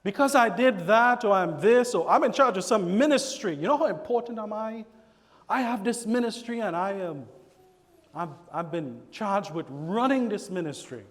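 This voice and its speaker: A Trinidadian man preaching in a church, his voice sitting high for a man. He is animated and slips into quoted voices of congregation members. The sound carries a long echo from the hall. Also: normal speed. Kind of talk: sermon. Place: church sanctuary.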